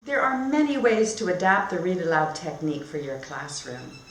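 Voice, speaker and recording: A woman teacher demonstrating read-aloud storytelling and instruction in simple, expressive phrasing. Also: on the low side, normal speed. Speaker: teacher trainer. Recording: echoey room.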